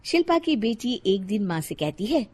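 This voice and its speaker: A woman's voice: on the high side, very animated, normal speed, darkish tone, breathy edge. A woman narrating a scripted cartoon moral story.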